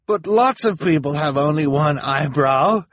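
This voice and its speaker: A man's voice: on the high side, expressive, unhurried, fairly bright tone, no room sound, voice pushed up. A man voicing a frightened accused man frantically pleading his innocence. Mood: frantic.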